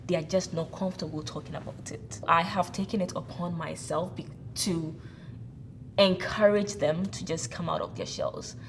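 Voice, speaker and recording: A woman's voice: fairly low pitch, fairly even intonation, normal speed, slight background noise. A woman hosting a casual interview, speaking in an earnest, encouraging way. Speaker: host.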